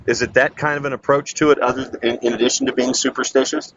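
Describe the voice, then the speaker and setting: A man's voice: mid-range voice, flat delivery, fast talker. A man hosting a sports radio show, putting questions to his guest in a conversational interview style. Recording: dull lo-fi sound with faint noise.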